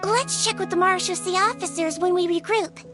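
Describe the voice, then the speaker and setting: A woman voicing a small, earnest girl nurse in a video game, speaking politely and gently. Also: extremely high pitch, normal speed, music in the background.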